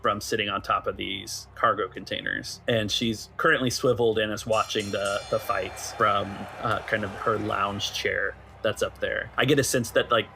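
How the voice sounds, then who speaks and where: Bright-voiced man running a tabletop game. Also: lowish voice, fairly even intonation, normal speed, vocal fry, hardly any pauses, slight background noise. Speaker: game master. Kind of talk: improvised narration of a tabletop roleplaying game.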